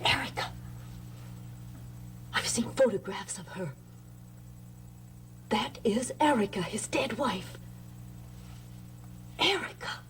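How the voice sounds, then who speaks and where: An actress playing an imperious mother of a grown son in a gothic soap opera, measured and haughty. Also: on the high side, animated, a bit bright, a little hiss or hum, full character voice. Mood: shaken. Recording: old soap soundtrack with music underneath.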